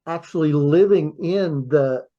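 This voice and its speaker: A man hosting a podcast, chatting in a friendly, halting way and often repeating words. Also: a little high, dark tone, lo-fi sound. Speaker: podcast host. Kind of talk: casual interview chat.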